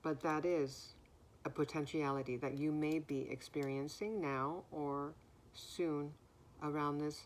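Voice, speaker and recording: Woman, low deep voice, slightly hoarse, slow unhurried delivery, soft room hiss. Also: fairly even intonation. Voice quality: naturally deep voice. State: somewhat hoarse. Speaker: spiritual card reader. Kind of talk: spiritual message, slow and reflective.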